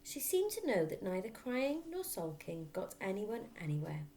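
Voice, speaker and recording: Very animated woman reading a story. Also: mid-range voice, moderate pace. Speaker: teacher reading aloud. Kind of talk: expressive children's storybook narration.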